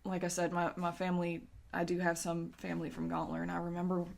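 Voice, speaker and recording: A woman game master playing a hesitant woman character in a tabletop game, halting as she tries to remember. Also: lowish voice, monotone, gravelly. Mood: halting, musing. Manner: hesitant, halting, murmuring to herself. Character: woman trying to recall an old family nursery rhyme.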